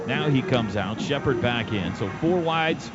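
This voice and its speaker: A man's voice: mid-range voice, expressive, unhurried, over loud music. An American man calling live football on radio as play-by-play announcer, in short clipped present-tense bursts. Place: stadium radio booth. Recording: dull, noisy stadium radio broadcast. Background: clear outdoor stadium background noise.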